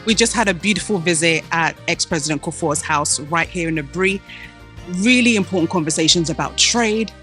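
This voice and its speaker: A woman's voice: on the low side, a little fast, music in the background. A woman hosting the trip, chatting in a bubbly, friendly way with the guests and the camera. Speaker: host. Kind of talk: casual chat.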